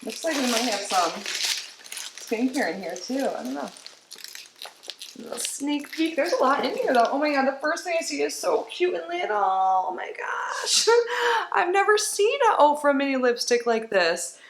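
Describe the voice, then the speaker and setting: A woman's voice: high voice, expressive, normal speed, creaky, fairly bright tone. A woman with a stuffy, congested voice chats her way through a beauty box unboxing at home. She rambles along casually, dropping small laughs between thoughts. The room sounds roomy and echoey, with a faint hiss of noise behind her.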